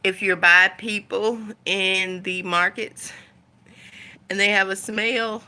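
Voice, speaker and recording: A woman speaks at a slow, unhurried pace in a mid-range voice. She chats casually to her viewers like a vlogger, rambling a little and thinking out loud. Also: mid-range voice, steady tone, smooth.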